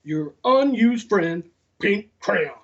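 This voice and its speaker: A man's voice: sits high, lively intonation, takes it slowly, a bit bright, exaggerated voice. A man voicing a sassy, neglected pink crayon in a children's storybook, scolding and pointed. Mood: resentful, sassy. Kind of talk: children's storybook read-aloud. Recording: dull lo-fi sound.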